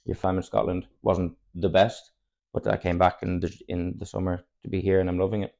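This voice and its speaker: A man's voice: low-pitched, flat delivery, brisk, vocal fry, darkish tone, highs cut off. A man in his twenties with an Irish accent, a native Irish speaker, chatting candidly as a footballer guest on a podcast, rambling and chuckling often. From Ireland, Cork. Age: 20s. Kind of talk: casual podcast chat.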